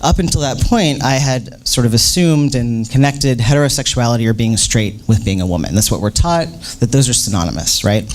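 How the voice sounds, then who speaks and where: A man's voice: medium pitch, expressive, fairly quick, few pauses. An American man in his thirties giving an informal, personal public talk in a conversational, candid way, often checking in with "right?". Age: 30s.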